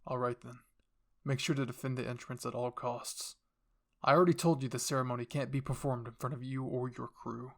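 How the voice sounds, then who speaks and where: A man voicing an older royal father in an anime roleplay drama, calm, commanding and measured, with an authoritative explaining manner. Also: medium pitch, creaky, lightly acted. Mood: stern, controlled.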